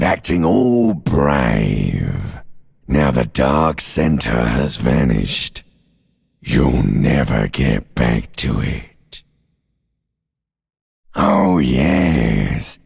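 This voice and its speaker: An ancient reptilian brain from a video game, menacing, seductive and darkly soothing. The growl is extremely husky and thick with gravel. It mocks in long drawn-out phrases that hang in a long cavernous reverb. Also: male voice, rumbling low, heavily acted. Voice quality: gravelly, raspy, guttural growl. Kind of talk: theatrical video game voice acting.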